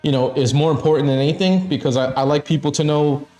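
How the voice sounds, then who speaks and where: A man's voice: fairly high pitch, quick pace, warm tone, faint music behind. A man in his fifties chatting casually on a live stream, blunt and frank, swearing often and laughing between thoughts. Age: 50s. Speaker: stream co-host.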